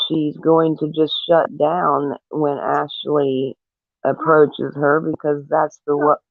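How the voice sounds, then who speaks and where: A man giving his opinion in casual talk, in a halting, deliberate way. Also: on the high side, creaky, no room sound. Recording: muffled, phone-like lo-fi audio.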